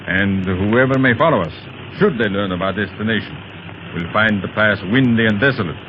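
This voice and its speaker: An actor playing a cold, scheming villain who leads a gang, speaking slowly and deliberately, in a 1930s radio drama. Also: male voice, on the low side, natural voice. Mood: menacing. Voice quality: slow, deliberate menacing delivery. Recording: muffled vintage radio recording with hiss.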